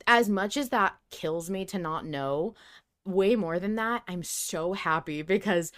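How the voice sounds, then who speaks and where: A woman's voice: fairly low pitch, a little slow, a bit bright. A woman co-hosting a podcast, talking casually and emphatically as she shares her opinions as a fan. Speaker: podcast co-host. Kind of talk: casual fan discussion of an audio drama.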